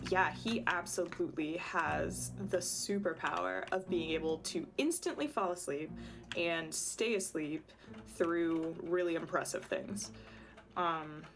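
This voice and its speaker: A slightly hoarse woman streaming a game, chatting casually and thinking aloud with many ums. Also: fairly low pitch, noisy background. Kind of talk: casual livestream commentary.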